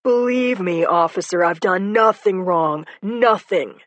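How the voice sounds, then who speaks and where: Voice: mid-range voice, extremely slow, fairly bright tone, no echo, highs cut off. A narrator voicing a slight young man, a defensive suspect who insists he did nothing wrong. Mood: pleading. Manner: protesting, defensive.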